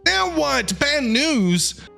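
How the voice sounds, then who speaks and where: A man giving casual, excitable reaction commentary as a game streamer, often repeating his phrases. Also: very high-pitched, very slow, creaky, soft background music.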